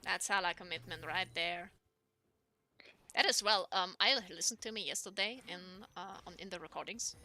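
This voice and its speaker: A young woman with a squeaky voice, casting a live game in a chatty way with lots of ums and laughs. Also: normal speed, sharp tone. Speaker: esports caster.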